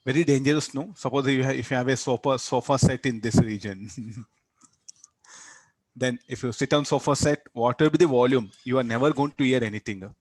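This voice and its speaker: A man's voice: medium pitch, fairly quick, darkish tone, no room sound, poor audio. A man with a South Indian accent teaching physics as an interactive lecture, repeating words and tagging sentences with short questions.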